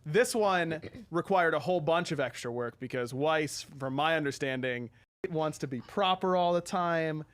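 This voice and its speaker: A man hosting a casual video game showcase, talking in an upbeat, enthusiastic and halting way with music behind him. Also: fairly high pitch. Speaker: livestream host.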